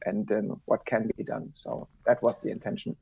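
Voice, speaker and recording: A man's voice: lowish voice, little pitch movement, normal speed, gravelly, husky. A man speaking as an industry guest in a conversational discussion, often repeating phrases and checking in with the listener. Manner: repeats phrases, tags statements with "right?". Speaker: podcast guest, industry executive. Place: remote online podcast connection. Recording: dull, band-limited online call audio.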